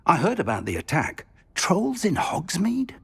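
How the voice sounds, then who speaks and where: An actor playing an elderly wizard professor in a game, raspy, earnest and grave. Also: male voice, high-pitched, wildly swooping pitch, a little slow, a bit bright, heavily acted. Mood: grave alarm. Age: older. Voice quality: raspy, aged.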